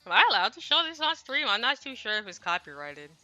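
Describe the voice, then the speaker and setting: A woman's voice: fairly high pitch, big pitch swings, fast talker, sharp tone, dull audio, faint music behind. A woman streamer chatting casually on a livestream in a rambling, self-deprecating, apologetic way, speaking into a headset. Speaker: streamer translating a Japanese visual novel.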